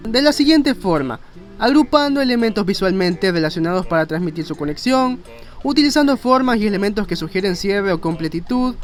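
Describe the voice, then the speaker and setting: High-pitched man explaining things. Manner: conversational, rambling, with self-mocking asides. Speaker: video narrator and graphic designer. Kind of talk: informal educational explainer narration. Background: faint music.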